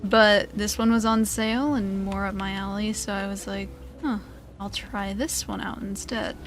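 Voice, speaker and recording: A woman's voice: medium pitch, steady tone, moderate pace, slight background noise. A woman giving casual, chatty gameplay commentary as the host of a gaming video. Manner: chatty, informal.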